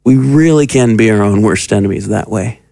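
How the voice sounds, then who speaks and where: A man's voice: on the low side, moderate pace, creaky, mellow, dry recording, clean studio sound. An actor playing a domineering, cruel occult magus who talks down to his pupil in an audio drama. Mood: menacing, condescending. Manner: condescending, commanding. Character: sinister, domineering male occult magus, cruel and mocking mentor.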